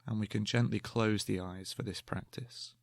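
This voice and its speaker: A man guiding a meditation in a calm, gentle, measured way, leaving long pauses between slow spoken instructions. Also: lowish voice, gravelly, dry recording. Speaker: meditation guide.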